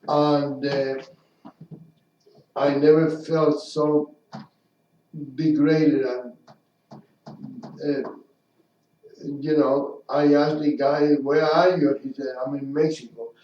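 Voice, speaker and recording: A man's voice: on the high side, little pitch movement, extremely slow, pauses often, slight background noise. A man with a non-native English accent co-hosting a casual radio talk show, telling indignant stories. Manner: indignant, talkative storyteller. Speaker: radio co-host. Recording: distant roomy lo-fi mic.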